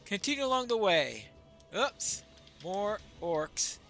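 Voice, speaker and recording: Male gamer, casual playthrough commentary, bright creaky voice, musing to himself. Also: high voice, sing-song, slow pace, faint music behind.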